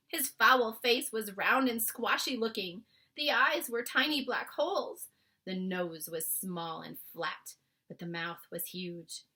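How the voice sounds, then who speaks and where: A woman reads a children's story aloud in a very bright, crisp-edged voice. Her pitch sits in the middle for a woman, and she narrates with occasional short asides to her listeners. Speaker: storybook reader. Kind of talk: children's book read-aloud with brief asides.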